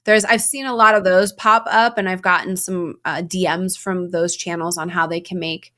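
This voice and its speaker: A woman's voice: fairly low pitch, little pitch movement, moderate pace, sharp tone. Direct woman, Spanish her first language. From Venezuela. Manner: direct and upbeat. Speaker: podcast guest, cycling coach. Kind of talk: casual interview chat.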